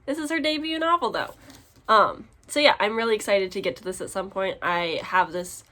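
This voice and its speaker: A woman chatting casually to her vlog audience in an expressive, giggly, exclaiming way, as a book vlogger showing her purchases. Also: mid-range voice, normal speed. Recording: clear background noise.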